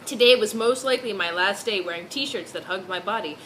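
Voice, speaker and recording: A woman's voice: mid-range voice, a little fast, fairly bright tone, slight background noise. A woman doing a mock-serious dramatic reading of fan fiction, dropping in amused asides.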